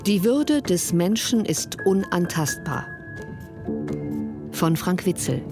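A woman's voice: lowish voice, lively intonation, slow, over music. A woman narrator reading scripted titles and short recited phrases for a radio piece.

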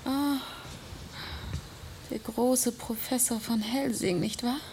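An actress playing a frail, exhausted young lady in a German radio play, breathy, languid and gracious. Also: fairly high pitch, steady tone, takes it slowly, a little hiss or hum. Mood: weakly gracious. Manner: languid, polite. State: weak, breathy.

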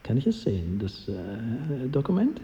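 A man's voice: medium pitch, wildly swooping pitch, measured pace, warm tone. An actor playing a courteous, cynical adviser to a king in a radio drama, dry and deferential. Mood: probing. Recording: dull sound with hall reverb.

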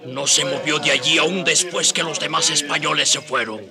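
A man's voice: a little high, normal speed, crowd noise, lightly acted. A man playing the solemn storyteller of a historical radio drama, with scripted, dramatic narration. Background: music.

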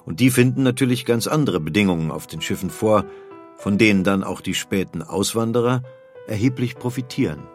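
A man's voice: fairly low pitch, brisk, faint music behind. A man reading scripted narration for an audio history documentary.

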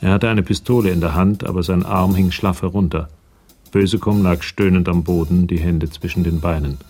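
A man reading scripted narration as the narrator of a radio crime drama, with a gravelly, husky voice. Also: low voice, steady tone, moderate pace, a little mellow, soft background music.

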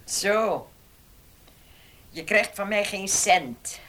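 An actress playing a stingy, domineering old farm matriarch in a radio play, cold and scornful. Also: female voice, medium pitch, lively intonation, drawn-out words, fairly bright tone. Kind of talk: Dutch radio play acting.

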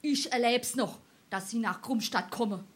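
A woman as a saucy, high-voiced Hessian waitress. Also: moderate pace, natural voice. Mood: scornful. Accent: South Hessian, Darmstadt dialect. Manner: snappy, scornful. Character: saucy, sharp-tongued tavern waitress, unimpressed. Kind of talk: dialect stage comedy acting.

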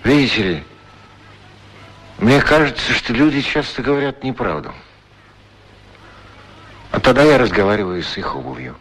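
A gentle shoe shiner in a 1960s radio drama recording. His mid-pitched voice moves in lively swoops as he muses haltingly, often repeating a word to himself. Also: breathy edge, low-quality recording. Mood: reflective. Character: gentle, musing male shoe shiner who chats with shoes. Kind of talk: radio play acting.

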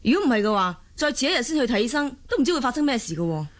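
Actress playing an expressive Cantonese working mother. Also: a little high, very fast. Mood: angry, scolding. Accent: Hong Kong Cantonese. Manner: expressive, colloquial. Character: busy working mother, chatty, easily exasperated office woman. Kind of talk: Cantonese radio drama acting.

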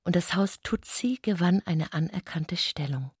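A woman narrating literary prose for a radio play in a breathy voice. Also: lowish voice, lively intonation, unhurried, dry recording, studio quality.

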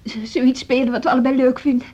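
An actress playing an uneasy music teacher in a radio drama, speaking informally and a little hesitantly. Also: female voice, high voice, level tone, fast, darkish tone, dull audio. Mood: uneasy, apologetic. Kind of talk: Dutch radio drama acting.

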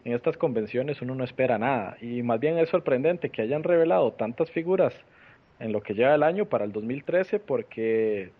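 A man from Costa Rica hosting a casual podcast chat, relaxed and thinking aloud with restarts, heard over an online call. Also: medium pitch, moderate pace. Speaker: podcast host. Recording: tinny phone-like call audio.

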